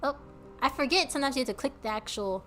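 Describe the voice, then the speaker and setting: A woman's voice: sits high, expressive, fast talker, fairly bright tone, a little hiss or hum. Bubbly, giggly woman streamer. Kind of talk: casual chat with live chat while playing a game.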